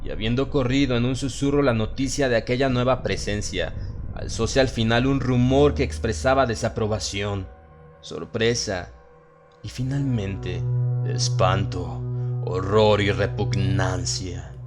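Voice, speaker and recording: Deep-voiced man narrating horror over music. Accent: Latin American Spanish. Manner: solemn, theatrical, with dramatic pauses. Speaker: audiobook narrator. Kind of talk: dramatized horror story narration. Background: music and sound effects.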